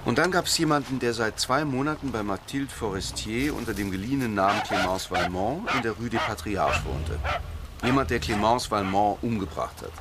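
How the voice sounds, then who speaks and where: A man playing a brooding, intuitive police detective in a radio crime play, explaining deliberately and insistently. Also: fairly low pitch, animated, very noisy, close to an everyday voice. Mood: insistent, certain. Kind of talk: naturalistic radio drama dialogue.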